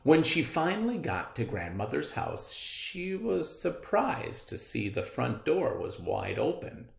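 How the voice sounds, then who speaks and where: A man's voice: fairly high pitch, sing-song, a little slow, vocal fry. A man reading a children's story aloud as a storyteller, with theatrical, emphatic phrasing. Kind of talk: animated children's read-aloud. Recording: roomy, echoey indoor recording.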